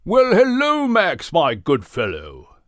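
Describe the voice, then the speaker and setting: A man's voice: high-pitched, huge pitch swings, slow pace, a bit bright, no echo, studio quality, voice pushed up, exaggerated voice. A man voicing a genteel, distinguished male whale with a proper English accent and formal, cheerful phrasing. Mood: delighted.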